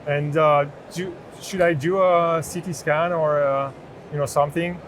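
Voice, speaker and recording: A man in his thirties with a French accent giving an unscripted product demo in a halting way. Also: fairly high pitch, steady tone, a bit bright. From France. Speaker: chief product officer demonstrating a product. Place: open booth in large indoor exhibition hall. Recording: noisy trade show hall background.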